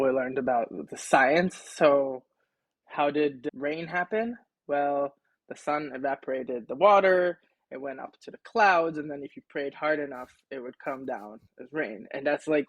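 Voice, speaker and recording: A young man from Israel, a podcast guest, telling his story in relaxed casual talk with wry asides and many fillers, recorded on his own mic at home. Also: medium pitch, dry recording, poor audio. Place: home setup, self-recording.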